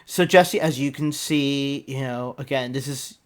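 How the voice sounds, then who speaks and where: A man's voice: mid-range voice, normal speed, clear, resonant tone. A man hosting a music video reaction, talking casually with lots of ums, restarts and trailing asides. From United States. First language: English. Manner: rambling, many ums and restarts.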